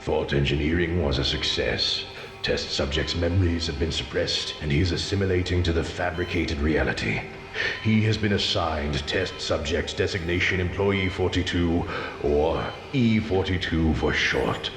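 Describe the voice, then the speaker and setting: An actor playing a dry, clinical scientist in a sinister video game facility. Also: male voice, low voice, normal speed. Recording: echoing hall reverb with music behind.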